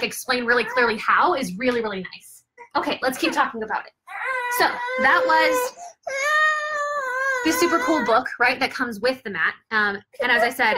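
A woman's voice: on the high side, bright, forceful tone, slight background noise, rough recording. A young woman chatting casually to camera as she shows off a baby play gym, upbeat and chatty with lots of fillers, recorded on a living room floor by a camera a few feet away. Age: young adult. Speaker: product reviewer and mother. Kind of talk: casual product demo to camera.

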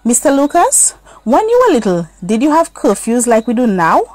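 A woman's voice: a little high, very animated, normal speed, darkish tone, no echo, pitched above the performer's own voice. A woman voicing a curious, polite little schoolgirl in a children's story, eager and inquisitive.

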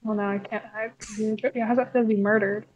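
A woman's voice: mid-range voice, flat delivery, fairly quick, narrow, tinny audio, natural voice. A woman playing a weary, wary puppet animatronic in improvised game roleplay, dryly sarcastic and dismissive. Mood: dry, teasing. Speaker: player in a Minecraft roleplay. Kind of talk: improvised in-character game banter.

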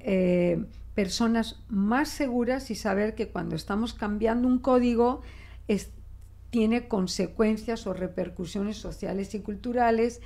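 Woman, older, Spanish accent, slow, gravelly, reflective, small studio desk mic. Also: medium pitch. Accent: Spanish from Spain, adapted toward Mexican Spanish. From Spain. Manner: expressive, reflective, with frequent restarts and gestures. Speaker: guest linguist. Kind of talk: conversational interview. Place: small studio office, indoors. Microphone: desk microphone.

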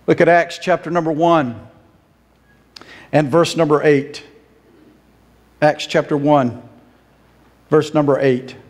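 A man's voice: on the high side, steady tone, takes it slowly, long pauses. A man in his fifties with a Southern American accent, preaching a sermon on a church stage and moving around as he speaks. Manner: earnest, emphatic, pacing the stage and gesturing. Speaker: pastor. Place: church sanctuary stage, indoors.

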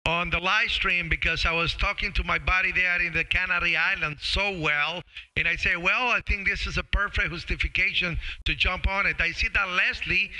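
A middle-aged man chats over ham radio in a very bright, crisp voice pitched a little high. He is friendly and casual, pausing and searching for words as he goes. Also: steady tone, low-quality recording. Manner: friendly, halting, calls listeners brother. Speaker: amateur radio operator and live streamer. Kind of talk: casual ham radio contact chat on a live stream. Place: small home radio room. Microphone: boom-arm studio microphone with headset.

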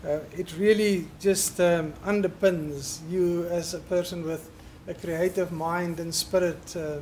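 A man in his fifties gives a formal introduction at a lectern in a large lecture hall. His voice is high-pitched yet dark and mellow in tone. He speaks warmly and haltingly, with a faint hiss in the background. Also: fairly even intonation. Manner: warm, halting with uh and um. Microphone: podium microphone.

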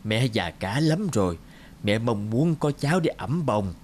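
A man's voice: fairly low pitch, big pitch swings, unhurried, a little mellow, a little hiss or hum. A man voicing an elderly mother in a cartoon fairy tale, fond and insistently urging. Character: elderly mother, fond, nagging for a daughter-in-law. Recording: music under the voice.

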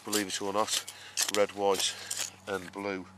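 A man giving a casual, explanatory gardening talk in a halting, unscripted way, as a vlogger would. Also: fairly low pitch, monotone. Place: outdoor vegetable garden and greenhouse. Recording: clearly noisy outdoor ambience, uneven distance.

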